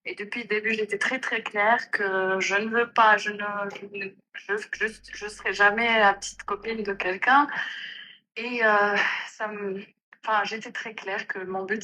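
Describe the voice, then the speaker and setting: A woman's voice: mid-range voice, pushed and bright, a little hiss or hum, rough recording. A Moroccan woman in her thirties calling in to a live stream, telling her story hesitantly. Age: 30s. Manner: hesitant, full of "euh" and restarts. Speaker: caller. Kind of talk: casual phone-in storytelling.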